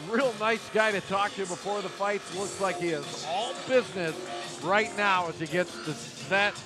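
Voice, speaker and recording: High-pitched male fight commentator. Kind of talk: live sports commentary. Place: live arena event.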